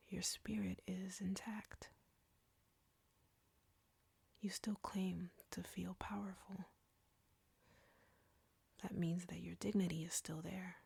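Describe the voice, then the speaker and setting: Woman playing a breathy, whispering vampire captor. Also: fairly low pitch, a little mellow, no room sound, exaggerated voice. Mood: amused, impressed. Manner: slow, deliberate, taunting. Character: arrogant, flirty, menacing female vampire captor. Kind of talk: intimate whispered audio roleplay.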